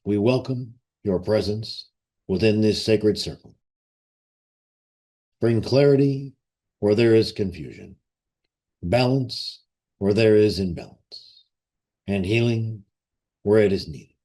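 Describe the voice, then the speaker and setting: A man with a fairly low voice guides a ceremonial meditation. He speaks very slowly, leaving long pauses between short phrases. His delivery is solemn and reverent, like a formal invocation. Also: dark tone.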